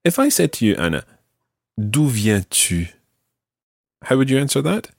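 A man hosting a podcast language lesson, explaining patiently and clearly. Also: mid-range voice, big pitch swings, measured pace, dry recording, clean studio sound. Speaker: language teacher and podcast host.

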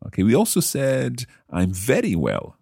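A Scottish man hosting a language podcast, speaking in an upbeat, careful way and practising phrases slowly. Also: medium pitch, wildly swooping pitch, creaky, dark tone, slightly rough, no echo, clean studio sound. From Scotland. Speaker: language course host. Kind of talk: scripted podcast lesson.

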